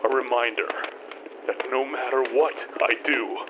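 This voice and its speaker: A man playing a guilt-ridden grieving father in an audio horror drama, halting and sombre. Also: medium pitch, steady tone, noisy background, close to an everyday voice. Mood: guilty, resigned.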